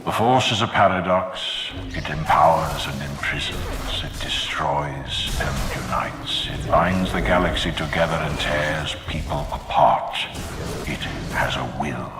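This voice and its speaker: An actor playing an ancient, stern male mystic in a video game, with a gravelly voice and a solemn, cryptic, commanding delivery. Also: a little slow, music in the background. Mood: solemn, forceful. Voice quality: deep, gravelly. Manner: solemn, oracular, pronouncing. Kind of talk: cinematic game voice acting. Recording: reverberant hall echo, faint noise.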